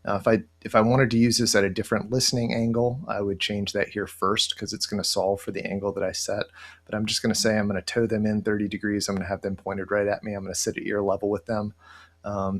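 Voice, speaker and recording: A middle-aged man with a low voice and plenty of fry walks through software on a screen share. His delivery is casual and halting, as he thinks aloud, and stays within a fairly flat pitch range. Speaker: software tutorial presenter. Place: indoor room, webcam screen recording.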